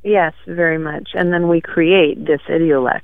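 Guy w/ gravelly voice, bit high, thinking out loud w lots of ums, thin phone-line sound, dry. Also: fairly even intonation, no room sound. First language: French. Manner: thoughtful, halting, many fillers. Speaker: guest author. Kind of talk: casual interview chat. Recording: tinny phone-like radio line.

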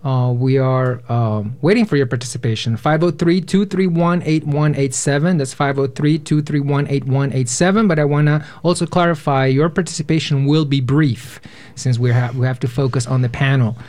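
A man's voice: mid-range voice, moderate pace, darkish tone. A man in his twenties hosting a live radio panel from a studio, speaking to listeners and guests. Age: 20s. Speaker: radio host, panel moderator.

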